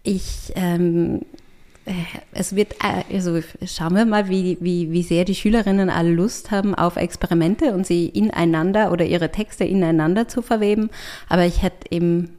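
A woman writer with an Austrian German accent, talking thoughtfully in an interview and often pausing to search for words. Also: fairly low pitch, steady tone, mellow. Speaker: writer and artist, guest. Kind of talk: conversational interview.

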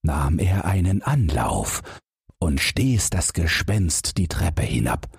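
Deep, husky male fairy-tale narrator. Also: big pitch swings, slow pace, no echo, studio quality. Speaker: audiobook reader. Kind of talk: scripted fairy-tale narration, bedtime listening.

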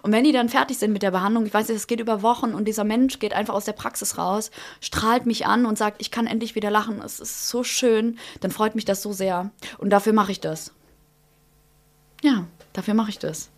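A woman's voice: medium pitch, brisk. A young woman co-hosting a casual chat podcast, joking and laughing a lot. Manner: lively, laughs a lot, bawdy humor. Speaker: podcast co-host.

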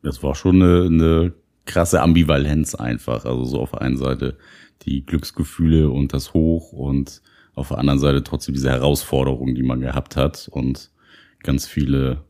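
A man's voice: extremely low pitch, steady tone, moderate pace, mellow. A man co-hosting a podcast in casual chat, trading dry quips and reflecting on recent weeks. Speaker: podcast co-host.

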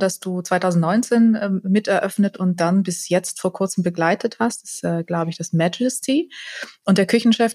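A woman's voice: lowish voice, darkish tone, no echo, clean studio sound. A woman interviewing a guest, asking friendly questions with some hesitant fillers. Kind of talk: podcast interview.

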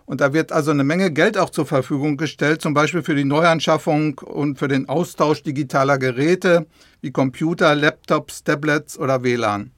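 A man's voice: a little high, moderate pace, fairly bright tone, no room sound, clean studio sound. A man explaining things patiently and plainly to young listeners as an expert guest in an interview. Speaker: government official, expert guest.

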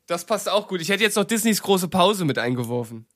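Slightly high-voiced male podcast panelist. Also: very animated, brisk, fairly bright tone, no echo, clean studio sound. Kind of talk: casual chat.

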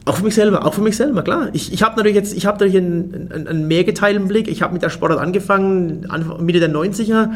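A German man in his forties, a race organizer, answering interview questions in a casual way and often restarting his sentences. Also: high voice. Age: 40s. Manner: fast, restarts and repeats words.

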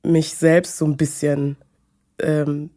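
A woman, talking hesitantly about her personal life with many pauses and fillers. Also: sits low, little pitch movement, dark tone. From Munich, Germany. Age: 20s. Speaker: guest. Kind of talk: personal conversation.

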